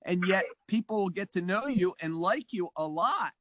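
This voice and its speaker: A man's voice: high voice, lively intonation, moderate pace, a crowd in the background. A man hosting a podcast chat, enthusiastic and full of praise for his guest. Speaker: podcast host. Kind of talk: casual interview chat.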